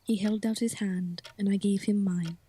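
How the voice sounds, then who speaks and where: An actress playing a young governess in an audio drama, reserved and earnest, speaking formally. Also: female voice, mid-range voice, fairly even intonation, moderate pace, dark tone, husky, natural voice. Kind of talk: audio drama acting and first-person narration.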